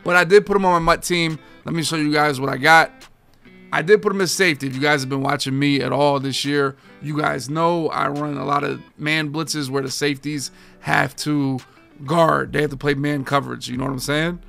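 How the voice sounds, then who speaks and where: A man in his thirties, a gaming streamer talking casually and energetically to his viewers, his voice a bit hoarse from overuse. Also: a little high, fairly quick, soft background music. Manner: energetic, slangy, addresses viewers as boys and guys. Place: home game room with framed pictures.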